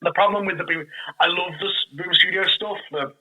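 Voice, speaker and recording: A man with a very bright, forceful voice in a casual podcast interview. He explains his creative work as a guest, in an animated way, slipping into his own reactions as he goes. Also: sits high, a little fast, low-quality recording.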